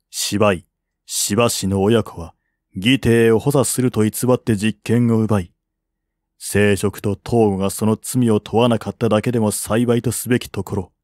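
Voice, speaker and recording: A man voicing a calm, grave strategist of a fallen kingdom in formal, measured audiobook dialogue. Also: on the low side, no room sound, studio quality, lightly acted.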